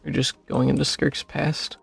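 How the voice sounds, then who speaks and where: A man's voice: medium pitch, little pitch movement, a little slow, creaky, breathy edge, faint music behind. A man streaming a game, giving casual, musing reaction commentary in short puzzled asides.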